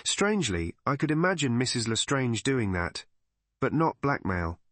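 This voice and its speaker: A man's voice: medium pitch, big pitch swings, moderate pace, fairly bright tone, no room sound. A man reading a scripted mystery story as an audiobook narrator.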